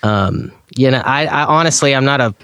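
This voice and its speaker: A man with a clear, smooth voice talks casually as an interview guest. He sounds like a laid-back enthusiast, full of slang. The sound is dry, with no room around him. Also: mid-range voice, moderate pace, a bit bright, dry recording. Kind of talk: casual chat.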